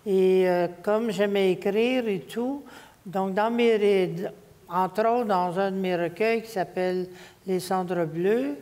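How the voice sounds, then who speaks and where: A woman's voice: mid-range voice, warm tone. A woman in her seventies with a Quebec French accent, talking reflectively as a panelist on an auditorium stage. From Quebec, Canada. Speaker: panelist, writer. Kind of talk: reflective unscripted panel talk. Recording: reverberant hall.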